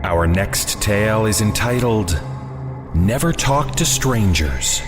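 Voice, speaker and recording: A man's voice: lowish voice, expressive, slow. A man acting as host announcer, giving theatrical scripted introductions to horror stories. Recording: long reverb, prominent music bed.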